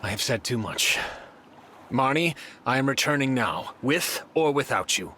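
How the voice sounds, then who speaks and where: An actor playing a stern, dutiful knight in a video game, formal and solemn in delivery. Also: male voice, medium pitch, lively intonation, a little slow, bright, forceful tone, a little hiss or hum. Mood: somber worry. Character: grave, dutiful male knight, loyal and stern. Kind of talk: video game cutscene voice acting.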